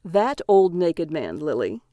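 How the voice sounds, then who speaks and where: A woman's voice: low voice, big pitch swings, slow pace, mellow, no echo, voice pushed down, exaggerated voice. Brief: childish easygoing husband, hurt-little-boy sulk, dry close sound, woman narrator voicing him. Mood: calm.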